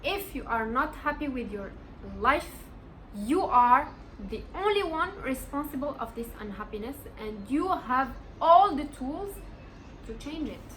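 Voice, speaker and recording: A woman's voice: high voice, expressive, unhurried, a bit bright, a little hiss or hum, poor audio. A woman in her twenties from Morocco, giving earnest, encouraging life advice in a casual vlog and stressing points by repeating them. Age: 20s. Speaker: vlogger.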